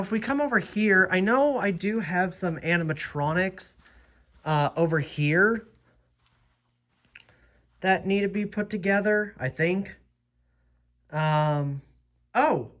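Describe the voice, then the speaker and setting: A young man hosting a casual vlog, talking slowly and hesitantly with many ums and uhs while losing his voice. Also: fairly high pitch, bright, forceful tone. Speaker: host.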